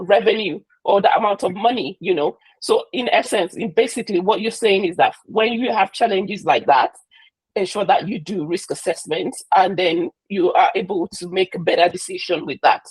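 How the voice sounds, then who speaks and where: A woman's voice: mid-range voice, normal speed, husky, no room sound, low-quality recording. A woman hosting an interview, recapping answers and asking questions in a hesitant way with many fillers. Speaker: host and moderator.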